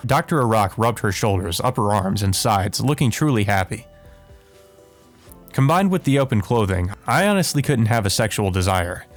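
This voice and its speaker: A man's voice: lowish voice, expressive, normal speed, soft background music. A man hosting a let's play, reading visual novel text aloud with casual commentary.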